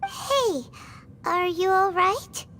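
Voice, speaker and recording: A woman voicing a tiny, excitable, naive fairy companion with a squeaky childlike voice in a video game. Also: drawn-out words, noisy background, heavily acted. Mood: concerned.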